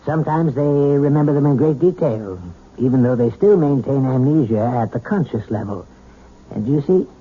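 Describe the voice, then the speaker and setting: A clinical, reassuring psychiatrist in an old radio play. His voice is extremely husky, at a middling pitch, and he explains in measured phrases. The sound is narrow and thin. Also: lively intonation. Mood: calm, clinical. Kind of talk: radio drama acting. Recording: thin, band-limited old radio recording.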